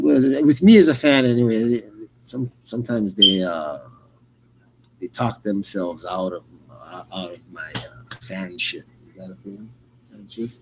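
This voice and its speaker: A man hosting fight commentary in a casual, rambling, unscripted way, often halting and repeating words. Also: mid-range voice, rough recording. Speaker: radio play-by-play host.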